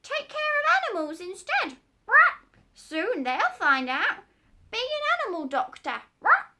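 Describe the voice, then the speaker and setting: Storybook parrot, proud and bossy, loud, very high squawks. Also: female voice, very animated, measured pace, heavy background noise, full character voice. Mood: squawky, bossy. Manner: squawking, sharp, emphatic.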